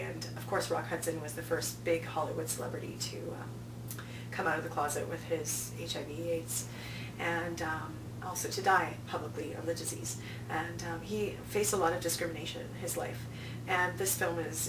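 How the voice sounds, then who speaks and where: A woman talking conversationally as an interview guest, stressing key words as she promotes events. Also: on the low side. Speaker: interview guest, film festival programming director. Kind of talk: casual promotional interview talk. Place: indoor room, on-location video interview. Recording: roomy, distant mic, clear background noise.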